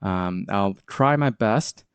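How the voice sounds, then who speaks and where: A man's voice: lowish voice, expressive, slow pace, gravelly, clean tone, no echo. A man with a sore throat and a congested voice hosts his crypto channel, talking through market charts with lots of hesitant fillers and restarts. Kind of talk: casual market commentary.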